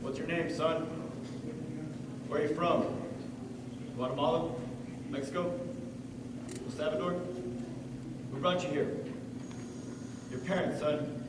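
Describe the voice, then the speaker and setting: A man's voice: on the high side, steady tone, takes it slowly, long pauses. A man playing a stern, frustrated judge on stage, pressing with short, insistent questions. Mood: stern questioning. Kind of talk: stage play acting. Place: high school stage in a large hall. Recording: echoey hall from far off, very noisy.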